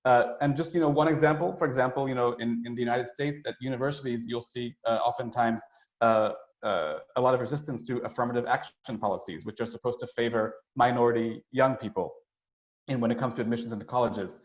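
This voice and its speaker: A man giving an explanatory, conversational slide presentation as a webinar speaker, with a halting delivery full of hesitations and asides. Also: medium pitch. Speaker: United Nations staff speaker presenting a webinar. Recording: roomy, dull webinar audio.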